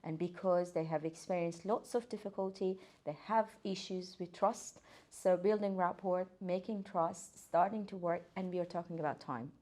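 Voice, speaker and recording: A woman's voice: fairly low pitch, normal speed, hardly any pauses, dark tone. Earnest woman. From Iran. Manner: earnest, hesitant, many fillers and restarts. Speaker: guest, occupational therapist. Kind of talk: casual podcast interview.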